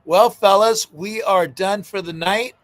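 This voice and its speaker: A man's voice: sits high, unhurried. A man hosting a casual live sports podcast from a backyard studio, with loose, joking banter. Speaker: podcast host.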